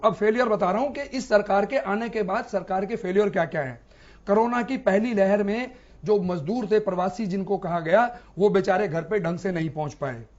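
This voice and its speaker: A man arguing as a TV debate panelist, point by point, challenging with yes-or-no questions. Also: sits high. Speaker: debate panelist, political commentator. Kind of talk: argumentative TV debate talk. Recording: dull, band-limited broadcast audio.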